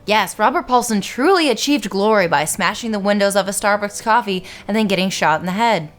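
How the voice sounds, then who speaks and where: Young woman, video-essay host, animated delivery, wry and sarcastic, light music bed. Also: mid-range voice, brisk. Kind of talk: scripted film analysis narration.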